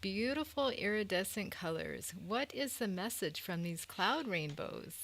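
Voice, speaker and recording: A woman's voice: mid-range voice, expressive, a little slow, fairly bright tone. A woman moderator reading out questions from an online audience. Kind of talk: reading out live chat questions.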